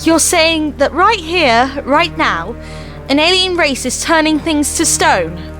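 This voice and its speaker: A plucky, warm-hearted adventuring companion acted by a woman in an audio drama. The voice is high, earnest and expressive, over music and the sound of rain. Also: slow. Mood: incredulous.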